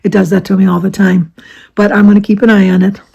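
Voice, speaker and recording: A woman in her seventies from Michigan, chatting casually to her viewers with a slightly raspy voice and rambling off topic. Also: medium pitch, little pitch movement, quick pace, a little mellow, lo-fi sound. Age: 70s. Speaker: vlogger. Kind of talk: casual chat to camera.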